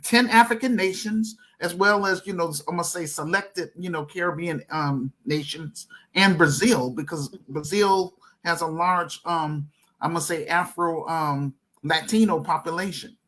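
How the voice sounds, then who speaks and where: Man hosting, halting and encouraging. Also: on the high side, a bit bright. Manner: encouraging, short interjections, halting. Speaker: podcast host. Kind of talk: casual interview talk.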